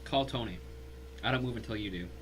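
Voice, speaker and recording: Man playing a husky, quick, distrustful guy. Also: lowish voice, fairly bright tone. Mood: wary, demanding. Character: terse, distrustful man in a suspense drama. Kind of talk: audio drama acting.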